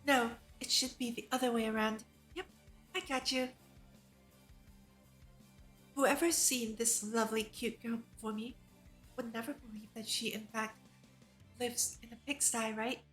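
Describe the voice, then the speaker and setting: High-voiced woman streamer over music. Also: steady tone, a little slow, long pauses, fairly bright tone, low-quality recording. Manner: enthusiastic, giggly fan reactions between read passages. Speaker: VTuber streamer. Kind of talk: reading a visual novel aloud with casual live commentary.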